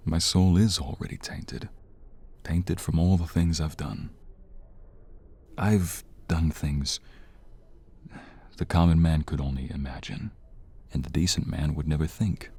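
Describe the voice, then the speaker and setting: A man playing a tender, weary young mobster, a devoted and remorseful boyfriend, in a soft, intimate ASMR romance roleplay. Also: steady tone, heavily acted. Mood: solemn, remorseful. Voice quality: very deep, husky, breathy. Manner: soft, slow, intimate, sighing.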